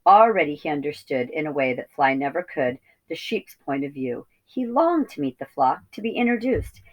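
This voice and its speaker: A woman with a notably low voice narrates a children's story. She reads expressively, as a teacher would for young listeners. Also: big pitch swings, moderate pace, lo-fi sound. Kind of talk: expressive children's read-aloud.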